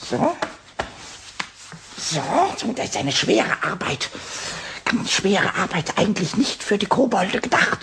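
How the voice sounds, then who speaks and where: A man playing a mischievous, childlike little kobold in a German children's radio play, stammering and repeating words in a squeaky, raspy voice. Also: sing-song, slow, a bit bright, heavily acted. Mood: busy, gleefully scheming. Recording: faint hiss of old cassette.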